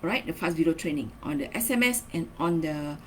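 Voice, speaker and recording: A woman's voice: deep voice, expressive, fairly quick, a bit bright. Woman presenting a training lesson, noisy background. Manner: instructional, emphatic key words, frequent tag questions like okay and right. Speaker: marketing trainer. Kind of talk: semi-scripted video training lesson, read and explained.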